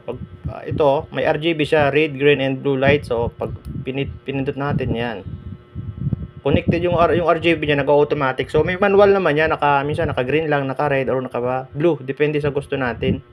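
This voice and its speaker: A man giving a casual, halting product review as a motorbike vlogger, with lots of fillers. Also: on the high side, lo-fi sound. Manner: relaxed, halting, many fillers. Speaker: moto vlogger reviewing an intercom. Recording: clear background noise.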